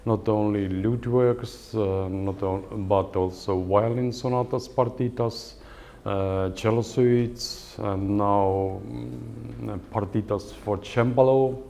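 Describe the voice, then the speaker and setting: An older man with an Estonian accent gives a lecture on guitar in English, which is not his first language. He speaks slowly, with many pauses and filler sounds. His voice is low and mellow. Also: steady tone, gravelly, a little hiss or hum. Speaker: guitar professor giving a lecture. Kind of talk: lecture with halting non-native English. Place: lecture hall at a music academy.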